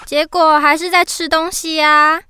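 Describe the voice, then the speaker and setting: A woman's voice: sits high, level tone, measured pace. A woman with a Taiwanese accent voicing a cute, gushing, starry-eyed little child in a children's radio drama, sweet and full of exclamations. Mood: gushing wonder.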